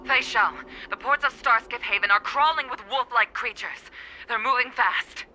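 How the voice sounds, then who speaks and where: A woman's voice: on the high side, normal speed, bright, forceful tone, poor audio. A woman playing an urgent officer giving a report in an anime-style video game.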